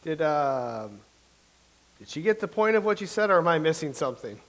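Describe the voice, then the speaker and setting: A man's voice: on the high side, big pitch swings, moderate pace, a bit bright. A man playing a wry, puzzled playwright. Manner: wry, quizzical. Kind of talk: staged reading.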